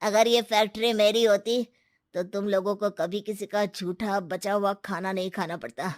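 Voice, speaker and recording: A woman's voice: mid-range voice, steady tone, moderate pace, dry recording, clean studio sound, lightly acted. A woman voicing a humble, kind-hearted waiter with a wry streak in a cartoon moral story. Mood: gentle, secretive.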